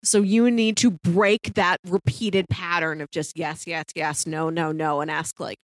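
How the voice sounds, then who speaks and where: A woman's voice: on the low side, steady tone, a little slow, dry recording. A woman co-hosting a podcast, casually discussing a TV series in conversation. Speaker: podcast co-host.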